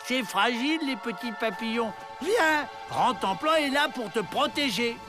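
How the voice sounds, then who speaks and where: Very high, naive cartoon simpleton. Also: male voice, lively intonation, measured pace. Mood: tender. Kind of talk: animated comedy dubbing. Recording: faint music behind the voice.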